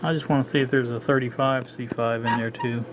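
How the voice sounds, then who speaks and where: A man demonstrating his work at a home workbench, narrating casually as he goes and often pausing with a halting "uh" while he thinks aloud. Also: mid-range voice. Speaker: hobbyist radio restorer. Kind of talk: casual hands-on demo narration. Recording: dull lo-fi with steady background noise.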